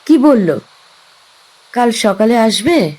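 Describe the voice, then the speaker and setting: A woman's voice: a little high, very animated, slow pace, a little mellow, full character voice, low-quality recording. An actress playing an impatient young woman stranded on an island, asking questions. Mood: uneasy.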